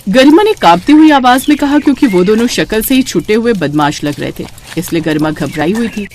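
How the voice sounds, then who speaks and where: Female story narrator, expressive and animated, middle-register voice. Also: medium pitch, a little fast, slight background noise.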